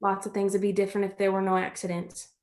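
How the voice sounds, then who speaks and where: A woman's voice: mid-range voice, monotone, fast, darkish tone, lightly acted. An actress playing a loyal, reassuring young country woman in a stage play reading, plain-spoken and earnest. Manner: folksy, earnest, reasoning things out.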